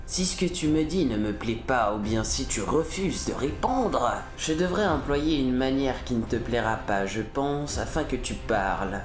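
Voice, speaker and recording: A woman's voice: very deep voice, animated, a little slow, few pauses, reverberant, over music. A woman playing a sadistic military interrogator in an audio drama, gloating, taunting and cruel with mock-polite menace. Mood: cold menace.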